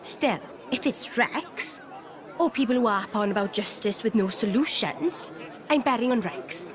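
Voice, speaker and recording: A woman playing an outspoken, lively young companion in a video game, direct and expressive. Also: medium pitch, measured pace, natural voice. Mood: frank. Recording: crowd bustle behind.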